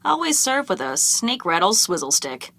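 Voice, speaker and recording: A knowing, chatty female gossip from an adventure game. Her delivery is lively and teasing, confiding in the listener, recorded close and dry. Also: mid-range voice, normal speed, no echo. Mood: chatty, amused. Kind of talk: animated adventure game dialogue.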